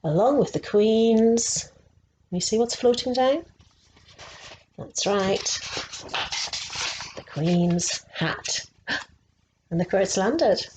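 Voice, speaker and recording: A woman reading a picture book aloud to children, slow and expressive, stopping to ask them questions. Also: mid-range voice, slight background noise, rough recording. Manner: slow and expressive, asking the young listeners questions.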